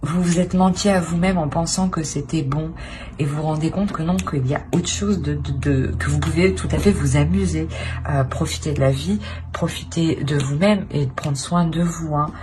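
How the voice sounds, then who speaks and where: A woman's voice: sits low, normal speed, background noise. A woman giving a casual tarot reading to her viewers, hesitant, with many fillers and restarts. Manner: hesitant, many "euh" and restarts, soft-spoken asides. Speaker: tarot reader.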